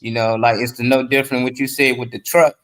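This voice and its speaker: A man speaks as a panelist in casual opinion talk. His pitch is average for a man. He is conversational, building his points from examples and short acted quotes. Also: level tone, quick pace, a bit bright.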